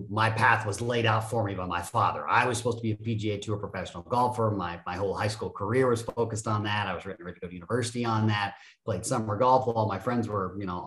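Man, 50s, podcast guest; animated, self-deprecating storyteller; lowish voice, echoey room. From United States. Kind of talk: casual interview talk.

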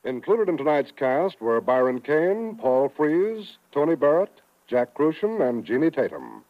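A man's voice: fairly high pitch, big pitch swings, a little slow, slight background noise. An American man announcing for network radio in a formal, polished, emphatic 1950s style, reading from a script. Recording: tinny narrow-band 1950s radio recording.

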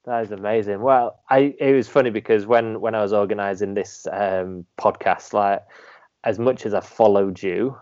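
A man's voice: fairly low pitch, fairly even intonation, normal speed, a little mellow, muffled recording. A man hosting a podcast, introducing his guest with enthusiasm and casual fillers. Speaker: podcast host. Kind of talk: casual interview.

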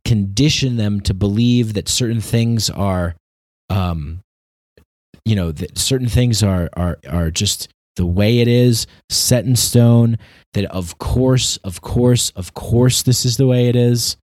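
Low-voiced man in halting monologue. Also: a little mellow, dry recording, studio quality. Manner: halting, repetitive, searching for words. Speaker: commentator. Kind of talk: unscripted opinion monologue.

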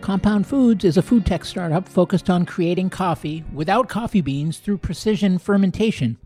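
A man's voice: sits high, moderate pace, warm tone, faint music behind. A man hosting a podcast, introducing the show and interviewing a guest.

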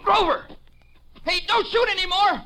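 A man's voice: very high-pitched, unhurried. A man acting a frightened trucker who pleads in a 1950s radio drama. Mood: panicked, pleading. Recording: very noisy old radio drama recording.

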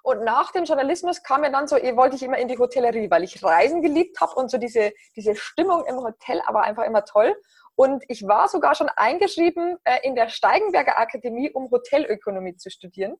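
A woman in her thirties with a Bavarian accent, chatting as a podcast guest in a relaxed, upbeat way. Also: sits high, fast, slightly rough. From Bavaria, near Passau. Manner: chatty, many fillers, upbeat. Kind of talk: casual interview chat.

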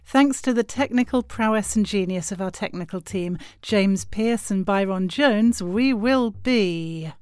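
A woman's voice: medium pitch, measured pace, few pauses, a little mellow, smooth, dry recording, studio quality. A woman co-hosting a podcast promo, cheerful, with lightly scripted banter and announcer flourishes. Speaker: podcast host and festival organiser.

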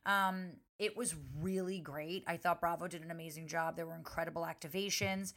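A man co-hosting a podcast in casual chat, his voice hoarse and strained, talking in a tired, rambling way. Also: fairly high pitch, fairly even intonation, brisk, pushed and bright. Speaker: podcast co-host.

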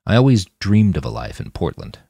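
Frank male army mechanic, read by a man, low-voiced. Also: big pitch swings, fairly quick, gravelly, warm tone, no room sound, full character voice. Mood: matter-of-fact, hopeful. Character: friendly male army mechanic, plain-spoken and hopeful.

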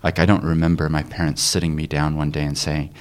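Host: man, very deep voice, encouraging interviewer asking probing questions. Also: flat delivery, fast talker. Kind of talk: conversational interview.

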